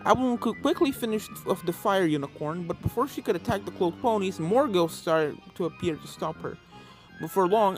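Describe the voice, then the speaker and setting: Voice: medium pitch, low-quality recording. A narrator reads a scripted story aloud. Music plays behind the voice throughout the fan fiction reading.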